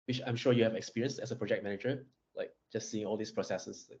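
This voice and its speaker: Fast-talking male host on a dull line. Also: on the low side, steady tone, a little mellow, slight background noise. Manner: brisk, frequent affirming backchannels. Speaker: podcast host. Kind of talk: interview.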